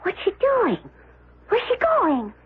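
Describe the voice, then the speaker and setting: An actress playing a simple, self-deprecating young woman, artless and hesitant, with a husky voice, in a radio drama. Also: very high-pitched, huge pitch swings, takes it slowly, phone-quality sound, full character voice. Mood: bewildered. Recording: thin vintage radio recording.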